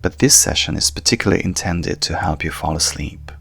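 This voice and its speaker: A man speaking as a hypnotherapist in a calm, hushed, soothing manner, softly guiding a sleep meditation with long pauses. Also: low-pitched, vocal fry, faint music behind. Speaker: coach and hypnotherapist guiding a session.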